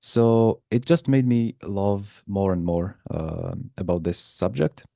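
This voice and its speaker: A man's voice: low-pitched, takes it slowly, creaky, darkish tone, no echo, clean studio sound. A man giving an informal presentation as guest speaker in an online class. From Algeria. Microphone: video call.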